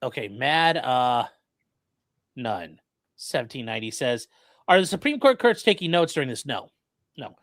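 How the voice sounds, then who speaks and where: A man hosts a live stream in a very animated, up-and-down voice. He reads viewer questions and explains legal points casually, slipping in wry jokes. The sound is dry and close. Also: mid-range voice, normal speed, long pauses, no echo.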